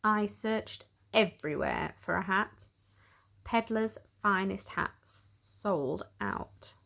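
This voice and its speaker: A woman's voice: medium pitch, animated, takes it slowly, vocal fry, fairly bright tone. A woman storyteller reading a children's picture book aloud, expressively, with dramatic emphasis on key words.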